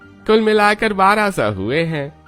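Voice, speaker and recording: A man's voice: high-pitched, very animated, measured pace, a bit bright, soft background music, full character voice. A man playing a friendly vegetable seller who grudgingly gives in, in a cartoon drama. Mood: salesmanlike.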